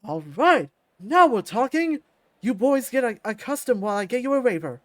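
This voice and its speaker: A man's voice: extremely high pitch, sing-song, moderate pace, pitched above the performer's own voice. A man voicing a sleazy, brash fight ring announcer who hypes up the bets in a visual novel story. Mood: eager. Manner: brash showman hype.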